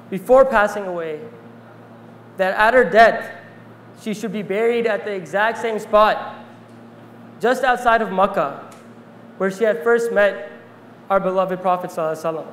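A fairly high-voiced young man speaks earnestly on stage, reading from notes and pausing often with small fillers. His voice rings through a large hall with a long reverb tail and a faint hum of ambience. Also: a bit bright. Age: young adult. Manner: earnest, reflective, pauses with fillers. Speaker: speaker and interviewer.

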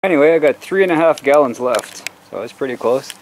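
A man's voice: mid-range voice, lively intonation, brisk, slight background noise, rough recording. A middle-aged man chatting casually in his backyard while doing chores, rambling in an easygoing way. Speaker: vlogger. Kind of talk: casual chat. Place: backyard outdoors.

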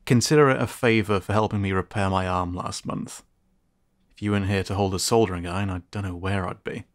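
Man playing a low-voiced, teasing sci-fi tinkerer. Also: fairly even intonation, fairly quick. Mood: teasing, friendly. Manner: casual, joking, chuckling. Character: teasing, friendly male sci-fi tinkerer and repairman. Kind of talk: ASMR sci-fi roleplay.

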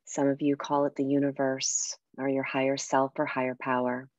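A woman guiding a meditation and breathing exercise in a soft, unhurried, soothing way with pauses. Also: a bass voice, monotone, no room sound. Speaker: meditation guide and coach. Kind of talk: guided meditation with breathing instruction.

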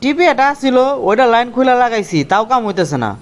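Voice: mid-range voice, lively intonation, fast talker, natural voice. Casting: hot-headed, cheeky young village schemer played by an actor, rural Bangladeshi accent, colloquial and argumentative, comic cartoon. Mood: frustrated. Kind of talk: comic Bengali cartoon dialogue.

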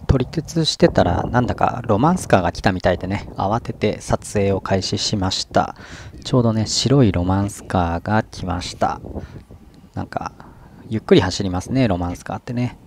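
A man's voice: on the low side, normal speed, creaky. A middle-aged man from Japan, chatting casually with lots of fillers while vlogging outdoors by a river on a camera mic. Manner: relaxed, chatty, many fillers and tag particles. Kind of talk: casual outdoor vlog narration. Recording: outdoor wind and ambient noise.

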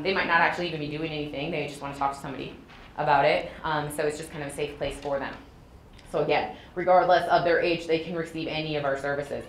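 A woman in her thirties speaking to an audience in a classroom, conversational and often checking in with "okay?". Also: low voice. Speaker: clinic speaker on a panel. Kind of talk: informal talk to an audience. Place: classroom, indoors. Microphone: far room camera. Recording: echoey classroom, faint hiss.

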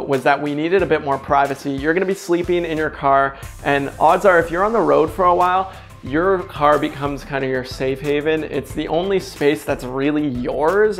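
A chatty young man with a slightly high, gravelly voice tells travel stories straight to camera. His talk is casual and upbeat, full of fillers. A lapel mic catches him close, with faint music playing underneath. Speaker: travel vlogger. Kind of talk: casual direct-to-camera vlog. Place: indoors in a bright kitchen.

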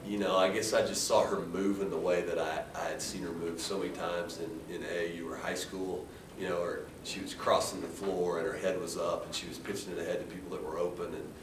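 Low-voiced, halting American male coach, roomy sound. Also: level tone. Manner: halting, measured, with repeated restarts. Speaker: head basketball coach. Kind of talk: post-game press conference answers. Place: indoor press room at a table. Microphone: distant camera. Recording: roomy, distant mic with faint rumbling noise.

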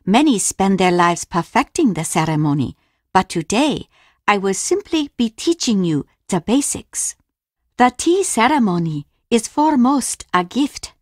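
A woman's voice: mid-range voice, big pitch swings, no echo, clean studio sound. A woman voicing a courteous, grieving older Japanese mother in a video game, speaking formally and carefully. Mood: gracious, instructive. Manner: formal, measured, careful delivery. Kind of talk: scripted game dialogue.

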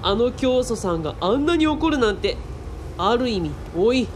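A woman's voice: on the high side, lively intonation, moderate pace, slight background noise, close to an everyday voice. A woman playing a cheeky, chatty young girl who gossips casually in a radio drama.

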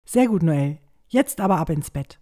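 A woman's voice: low voice, expressive, moderate pace, warm tone. A woman playing a caring, anxious, protective village mother in a fantasy audio drama. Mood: anxious care.

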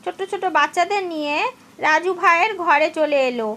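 A woman's voice: very high voice, a little fast, a bit bright. A woman reading scripted narration for a cartoon story.

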